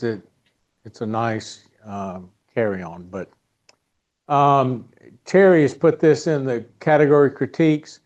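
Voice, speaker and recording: A man hosting a meeting, giving informal announcements and introducing a guest in a halting, easygoing way. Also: mid-range voice, a little mellow, highs cut off. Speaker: club meeting host. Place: indoor meeting room.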